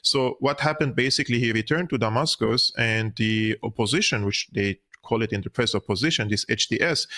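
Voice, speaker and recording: An earnest man from Syria in his thirties, talking through events with measured seriousness. His voice is bright and forward. He speaks into a studio mic in a dark home studio, often pausing on "uh" between thoughts. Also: mid-range voice. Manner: earnest, measured, with frequent uh fillers. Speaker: political analyst guest. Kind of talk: remote interview commentary. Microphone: studio mic on arm, headphones.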